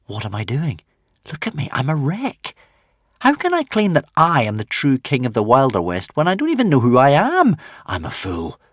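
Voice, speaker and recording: A young boy full of doubt who speaks in hushed, hesitant tones, as a male reader voices him. His pitch lifts and wavers. The reading is dry and close. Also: wildly swooping pitch, normal speed, dry recording. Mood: despairing. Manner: whispering, hesitant, self-doubting. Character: young boy hero, despairing and shaken.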